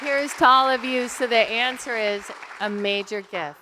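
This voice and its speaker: A woman's voice: fairly high pitch, a little slow, a crowd in the background, background noise. A woman on a fan panel speaking effusively about how grateful she is. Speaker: actress panelist. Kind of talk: panel talk. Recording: long hall reverb.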